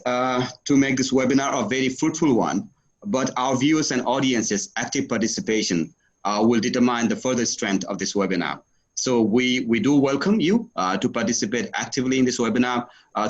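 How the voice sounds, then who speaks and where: A man with a Nepali accent gives a formal welcome as a webinar moderator. His mid-pitched delivery is halting and deliberate, and the audio is slightly dull. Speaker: webinar moderator, youth engagement officer. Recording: dull video-call audio.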